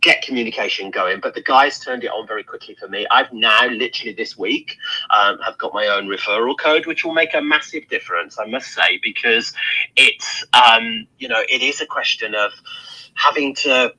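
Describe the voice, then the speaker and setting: A man's voice: medium pitch, normal speed, pushed and bright, slightly rough, rough recording. A middle-aged man hosting a casual online group chat. He rambles and repeats words as he talks. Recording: online audio space.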